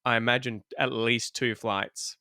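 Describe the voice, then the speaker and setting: Bright, clear-voiced man chatting casually. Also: mid-range voice, unhurried, no echo, studio quality. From Australia. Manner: laughs often, relaxed storytelling. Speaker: podcast guest, English teacher. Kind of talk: casual chat.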